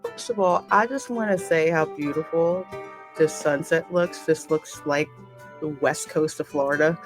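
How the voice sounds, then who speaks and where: Casting: American woman as gameplay host, colloquial and chatty, a bit low in pitch, soft music underneath. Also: measured pace, low-quality recording. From United States. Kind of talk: gameplay commentary, reading game text aloud. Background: faint game music.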